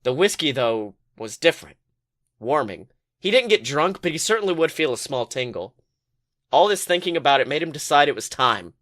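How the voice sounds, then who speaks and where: A man podcast host reading fan fiction aloud as expressive, colloquial story narration. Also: fairly high pitch, moderate pace.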